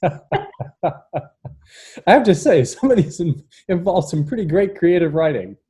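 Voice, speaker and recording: Mellow, higher-pitched man chatting. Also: big pitch swings, a little slow. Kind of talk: casual chat.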